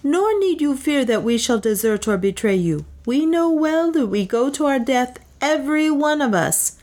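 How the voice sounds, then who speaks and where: A woman voicing a grave, fearful headman, giving his lines higher and in a formal, solemn manner. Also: big pitch swings, normal speed, a little mellow. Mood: resolute.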